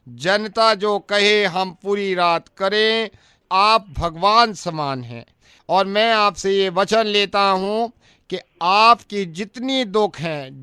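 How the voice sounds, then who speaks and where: Give the sound of a bright, loud politician acted by a man, dragging out every word very slowly. He grovels and flatters like a servant before his master, in a comic radio prank. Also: high-pitched, clear, resonant tone, no echo, studio quality, heavily acted. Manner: slow, drawn-out, servile oratory. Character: pleading, flattering male politician begging for votes, turning rude.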